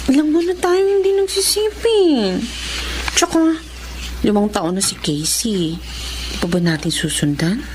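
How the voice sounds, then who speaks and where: A woman's voice: mid-range voice, unhurried, long pauses, lightly acted. A woman acting in a radio drama as a young wife and mother, patient but growing suspicious, with a Filipino accent. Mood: pleading, disappointed. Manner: expressive, emotional. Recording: faint background noise.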